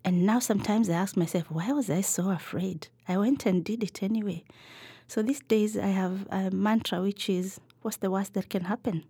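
A middle-aged woman from Kenya, a podcast guest answering in a thoughtful, measured way with some fillers. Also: medium pitch, warm tone, breathy edge, dry recording. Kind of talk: reflective interview answers.

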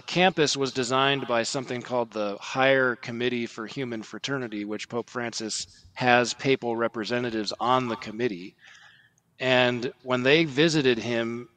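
A man speaking as a podcast commentator, explaining religious news in a measured, factual way. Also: medium pitch, fairly bright tone, no echo.

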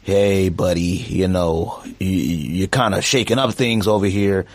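A man hosting a sneaker podcast in casual chat, slangy and animated, tossing in "huh?" as he goes. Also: low-pitched, a little slow, no echo. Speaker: podcast host.

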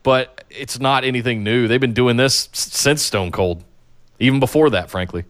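A man co-hosting a casual podcast, sharing opinions in a rambling way and often restarting his sentences. His voice sits in a middle pitch and comes through smooth and resonant. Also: moderate pace, fairly bright tone. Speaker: podcast co-host. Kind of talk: casual wrestling podcast chat.

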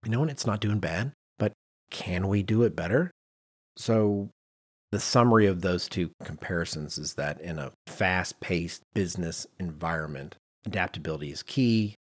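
A man's voice: sits low, expressive, normal speed, husky. A middle-aged man hosting a podcast in casual discussion, acting out little imagined quotes as he explains. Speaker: podcast host.

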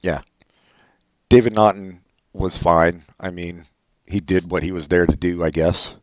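A man's voice: low-pitched, steady tone, a little slow, no echo. A man co-hosting a podcast, chatting casually about a movie in a dry, offhand way that often trails off. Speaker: podcast co-host.

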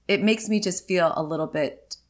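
A woman's voice: lowish voice, moderate pace. A woman in her forties hosting her own podcast, talking candidly in a chatty, rambling way with many ums and likes. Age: 40s. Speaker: podcast host. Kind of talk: casual solo podcast chat.

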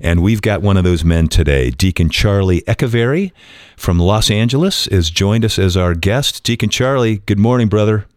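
Deep-voiced eager male radio host. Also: moderate pace, darkish tone, dry recording, studio quality. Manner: eager, welcoming, with many fillers. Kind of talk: hosting a faith talk program.

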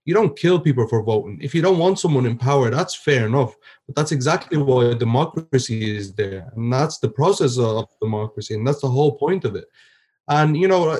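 Young man, podcast guest, earnest, halting, many restarts, mid-pitched voice. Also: a little mellow. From Ireland. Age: 20s. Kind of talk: personal testimony in an interview.

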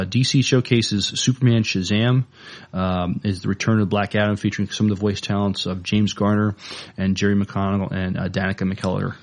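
A man co-hosting a podcast, chatting casually about movie and DVD news in a hesitant, halting way. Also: fairly low pitch. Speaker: podcast co-host.

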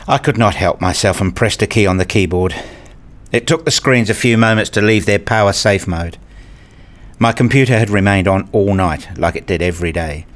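A man reading scripted first-person narration for a radio play, telling it in a measured, literary way. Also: on the low side.

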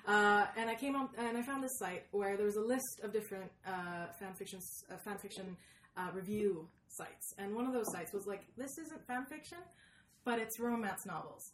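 Young woman, chatty and animated storyteller who interrupts herself, roomy sound with faint noise. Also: mid-range voice. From Sweden. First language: Swedish. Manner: animated, halting, self-interrupting. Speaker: podcast host being interviewed. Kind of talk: casual conversational storytelling. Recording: roomy echo, background noise.

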